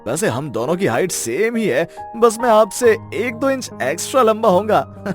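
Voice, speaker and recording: A man acts a friendly young suitor in a cartoon moral tale. His voice is high and swings animatedly, polite and confident, over clearly audible music. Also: lively intonation, moderate pace. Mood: friendly, encouraging. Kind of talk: animated moral-story dialogue. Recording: music behind the voice.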